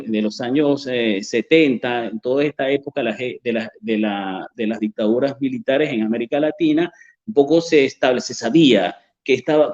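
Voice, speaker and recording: A man's voice: mid-range voice, darkish tone, muffled recording. A man with a Venezuelan accent, an author answering interview questions in a hesitant way with many fillers and restarts. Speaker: author and journalist, interview guest.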